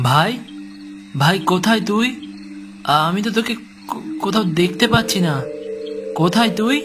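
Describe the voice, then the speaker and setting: Man as higher-pitched protective elder brother. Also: moderate pace, music in the background, natural voice. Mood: panicked. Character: protective young older brother, obliging then anxious. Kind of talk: Bengali horror cartoon dub.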